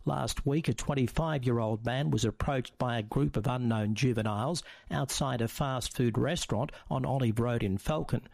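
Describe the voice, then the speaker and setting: A man's voice: mid-range voice, vocal fry, darkish tone, dry recording. A man reading a formal radio news bulletin. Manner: measured, formal news delivery. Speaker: newsreader.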